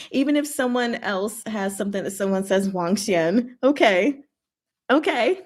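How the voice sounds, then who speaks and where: A woman hosting a casual chat and Q&A, reading and answering comments in a giggly way with emphatic short verdicts. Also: medium pitch. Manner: giggly, animated asides with emphatic one-word verdicts. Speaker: host of a chat and Q&A stream.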